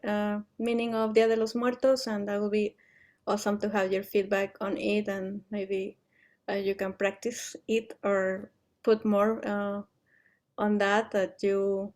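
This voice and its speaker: A woman speaks informally in an online volunteer meeting as an organizer. Her voice sits at a middle pitch, and she feels her way through phrases in a halting manner. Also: a little mellow. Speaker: event organizer.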